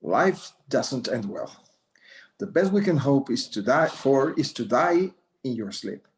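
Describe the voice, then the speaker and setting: Guy reading old rancher vigilante, solemn but pitch moves around a lot, mixes spanish in. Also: sing-song, unhurried. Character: elderly, solemn, self-appointed vigilante Mexican rancher. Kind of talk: screenplay table read.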